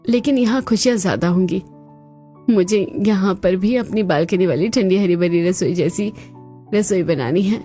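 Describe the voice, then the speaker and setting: A woman's voice: medium pitch, moderate pace, warm tone, soft background music, natural voice. A woman voicing a kind young Indian daughter-in-law, polite and earnest, in a cartoon family drama. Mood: hopeful.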